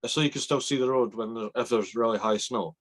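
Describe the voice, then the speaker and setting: A man's voice: medium pitch, fast talker. A young Scottish man in his twenties hosting a casual rugby podcast over a video call from a small bedroom, chatty and self-deprecating. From Scotland. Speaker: podcast host. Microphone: video call webcam.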